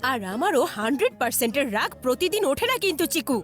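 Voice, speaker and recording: A dramatic cartoon mum, high-pitched and swooping in her intonation. She is easily exasperated, and music plays underneath. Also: very animated, quick pace. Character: dramatic, easily exasperated mother of two boys. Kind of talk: cartoon dub acting. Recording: music behind the voice.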